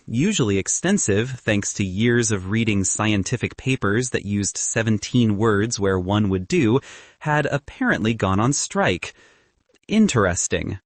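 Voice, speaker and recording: Male audiobook narrator for light comic fiction: wry, expressive pitch movement, dry studio-like sound. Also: dry recording.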